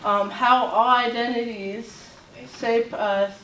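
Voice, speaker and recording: A woman from Latvia giving an informal talk to a group as a self-advocate, speaking haltingly with many fillers and restarts. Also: a little high, steady tone, fairly bright tone, slight background noise. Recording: roomy echo, voice far from mic, faint ambience.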